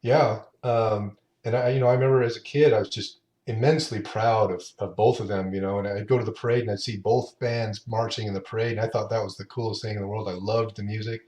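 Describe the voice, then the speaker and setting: A man in his late fifties, the guest on a podcast, speaks in a lowish voice. He talks in an unhurried, halting way, often restarting his sentences, and the room around him rings with a noticeable echo. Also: fairly even intonation, darkish tone. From Utah. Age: 50s. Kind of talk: casual interview chat. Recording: roomy echo.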